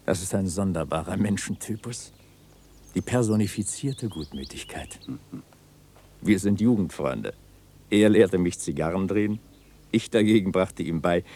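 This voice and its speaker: A man's voice: deep voice, mellow, a little hiss or hum. An actor playing a genial, educated man who talks in a wry, conversational way in a radio play. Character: genial, educated man fondly recalling his friend.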